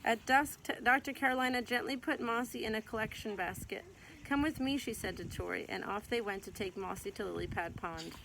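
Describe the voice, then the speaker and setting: A woman reading a children's picture book aloud in an expressive storytelling way, stretching out some words. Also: fairly high pitch, fairly quick, creaky, background noise.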